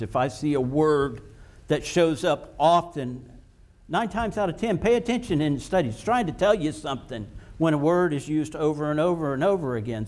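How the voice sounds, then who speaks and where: A man preaching a sermon, slow and emphatic, repeating words for stress. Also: a little high, darkish tone.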